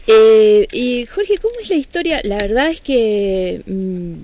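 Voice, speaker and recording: A woman, interviewing, asking questions in a halting way with many short affirmations. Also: on the high side, animated, warm tone.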